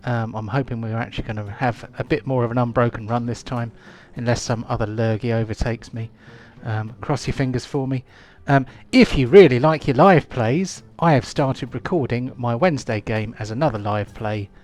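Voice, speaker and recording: Male GM, casual host patter, hesitant and rambling, average pitch. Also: normal speed, hardly any pauses, a little mellow. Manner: rambling, chatty, many ums and restarts. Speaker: game master. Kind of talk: live-play tabletop game intro.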